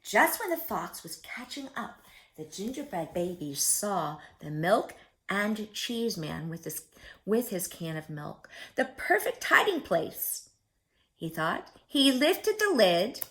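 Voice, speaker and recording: Very animated, slow-paced woman reading to children. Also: medium pitch. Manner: theatrical, asks the young listeners questions. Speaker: children's story-time reader. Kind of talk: expressive picture-book read-aloud for young children.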